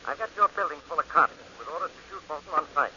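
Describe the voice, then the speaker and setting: An actor playing a brisk police inspector, heard over a telephone, in 1940s radio acting. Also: male voice, a little high, lively intonation, pushed and bright. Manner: brisk, hurried. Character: brisk middle-aged male police inspector. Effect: telephone filter. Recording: dull old radio recording with hiss.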